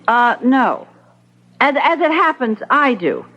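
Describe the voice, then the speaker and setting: An actress playing a flustered, exasperated housewife in an old-time radio drama, fretful and hesitant. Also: female voice, sits high, sing-song, slow pace, pushed and bright, exaggerated voice. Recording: dull old-radio sound.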